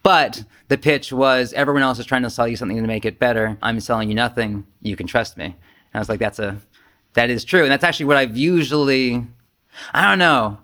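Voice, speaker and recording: A man's voice: medium pitch, fairly quick, sharp tone. A man leading a casual group discussion as a Zen teacher, speaking candidly and self-deprecatingly, with swearing and imagined quotes.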